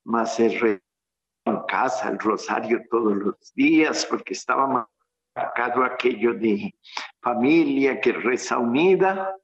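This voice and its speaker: Slow, reflective priest. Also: male voice, mid-range voice, animated, lo-fi sound. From Colombia. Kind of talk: radio interview guest telling his life story.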